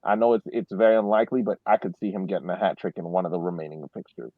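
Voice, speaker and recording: A man's voice: low voice, steady tone, very fast, no room sound. Man on a thin phone-like line. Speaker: sports podcast panelist. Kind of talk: casual sports analysis.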